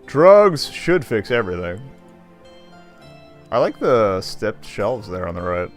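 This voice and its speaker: Male streamer, lowish voice, casual commentary and read-aloud game narration over soft music. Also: sing-song, takes it slowly. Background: faint game music.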